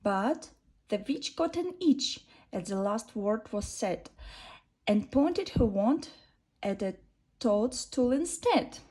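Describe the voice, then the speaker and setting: Slow sing-song woman storyteller. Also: a little high, lively intonation, dark tone. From Russia. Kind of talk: reading a rhyming children's bedtime story.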